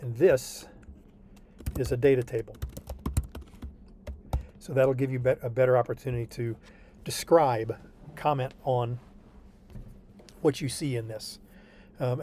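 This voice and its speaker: A man's voice: medium pitch, fairly even intonation, moderate pace, long pauses, a little mellow, slight background noise. Patient tutor man checking in often. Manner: patient and reassuring, checks in with frequent "okay?". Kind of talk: online tutoring session walking through homework.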